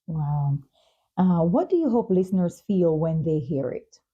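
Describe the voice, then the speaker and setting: A woman's voice: lowish voice, lively intonation, a little slow, husky, phone-quality sound. A woman hosting a podcast interview, asking questions and responding to her guest. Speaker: talk show host.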